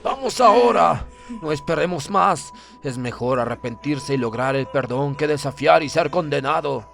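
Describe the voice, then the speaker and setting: A crazed, desperate submarine officer, acted by a male narrator, raving and pleading in loud, high, drawn-out cries over music. His delivery is frantic and theatrical, as in a dramatic audiobook. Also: lively intonation, creaky. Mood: desperate urging.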